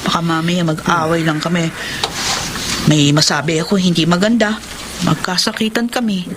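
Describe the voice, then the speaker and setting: A woman's voice: fairly low pitch, fairly even intonation, normal speed, noisy background, lightly acted. An actress playing a worn-down, anxious young wife and working mother in an emotive, confiding Tagalog radio drama style. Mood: troubled, upset.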